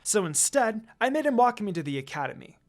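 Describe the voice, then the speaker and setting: A fanfiction reader voicing a lazy, stubborn young girl ninja in a higher voice than their own. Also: big pitch swings, a little fast. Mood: eager. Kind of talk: fanfiction read aloud.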